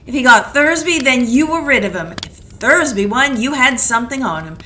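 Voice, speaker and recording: A woman's voice: high-pitched, animated, a little fast, sharp tone, slight background noise. A woman voicing a hard-boiled female private detective with a clipped, blunt manner, in a community radio play. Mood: accusing.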